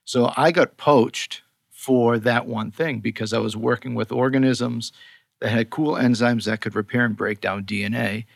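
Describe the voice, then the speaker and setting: A man's voice: medium pitch, steady tone, moderate pace, vocal fry, no room sound. A man with a Boston accent, a company co-founder explaining science in a casual interview, peppered with "you know". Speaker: skincare company co-founder, guest.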